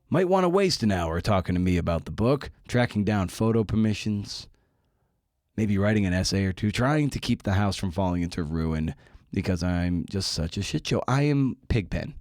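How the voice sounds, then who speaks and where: A man's voice: lowish voice, darkish tone, dry recording, clean studio sound. A middle-aged man hosting a podcast in a casual style, with a wry, self-deprecating manner. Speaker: podcast host. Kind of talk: casual podcast monologue and interview.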